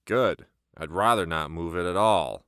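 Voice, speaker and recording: A man's voice: sits low, a little slow, a bit bright, clean tone, no echo, studio quality. A man playing a sarcastic, reluctant teenage adventurer in an amateur script read, exasperated and argumentative. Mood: grumbling. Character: grumbling, sarcastic, reluctant male teen adventurer.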